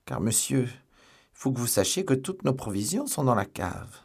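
A man's voice: mid-range voice, sing-song, normal speed, breathy edge, voice pushed up. A man voicing an anxious, servile innkeeper in a plaintive, exclamatory way, as in an audiobook. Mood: piteous, agitated. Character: piteous, complaining male innkeeper, anxious and servile.

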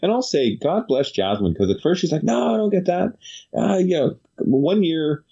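A man's voice: a little high, very animated, normal speed, vocal fry, dark tone, slightly rough, highs cut off. A man hosting a podcast, telling stories in a chatty, rambling way full of fillers. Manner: chatty storytelling, many fillers. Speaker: podcast host. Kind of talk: casual chat.

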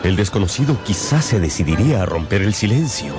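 An actor narrating a dramatised radio novel as a wry, theatrical storyteller with knowing dramatic pauses. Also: male voice, fairly low pitch, very animated, over loud music. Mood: storytelling.

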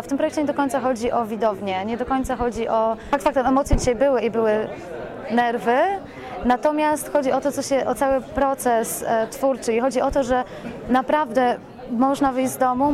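A woman giving a spontaneous interview as a producer, effusive and rambling, often correcting herself mid-sentence. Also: a little high. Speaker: radio play producer being interviewed. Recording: busy crowd chatter behind voice. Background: crowd at a public event.

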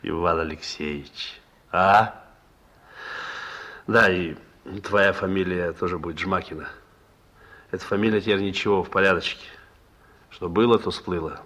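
A man's voice: deep voice, measured pace, long pauses, fairly bright tone. An actor playing a reformed young crook and proud new father in a Soviet radio drama, talking in a colloquial, boastful, earnest way. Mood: tender, boastful. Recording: noisy old Soviet radio recording.

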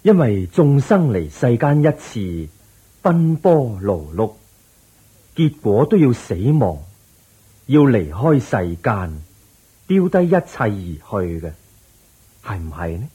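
Wise calm buddha in a hong kong cantonese radio play, dark husky voice, really slow solemn teaching. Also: male voice, mid-range voice, heavily acted. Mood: grave, gentle. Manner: solemn, deliberate, drawn-out phrasing. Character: serene, wise Buddha patiently teaching his disciples. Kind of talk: Cantonese radio drama, preaching.

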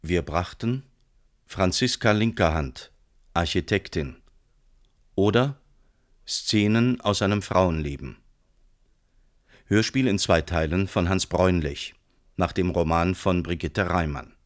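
A man working as a radio announcer, reading scripted credits in a measured, formal manner. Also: sits low.

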